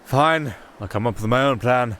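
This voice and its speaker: A man's voice: mid-range voice, moderate pace, a bit bright, close to an everyday voice. A man acting a nervous, rambling young castaway musician with a sardonic streak, in a fantasy ASMR roleplay. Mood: wary, sardonic.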